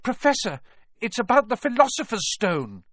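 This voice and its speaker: Man playing an urgent, earnest schoolboy, lively pitch. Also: a little slow, dry recording, clean studio sound, full character voice. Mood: frantic. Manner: urgent, pleading. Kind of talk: audiobook character voice.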